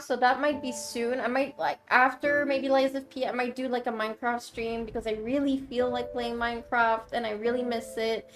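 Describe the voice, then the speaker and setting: A woman streamer from Quebec, whose first language is French, chatting casually with her viewers, rambling and laughing often as she thanks them. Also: high voice. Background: game music.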